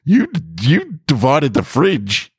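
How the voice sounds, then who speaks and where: A man's voice: on the high side, wildly swooping pitch, slow pace, husky, no echo, clean studio sound, voice pushed up, heavily acted. A man voicing an exasperated, defiant and petty housemate in an audio short story, argumentative and incredulous. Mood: smug, defiant.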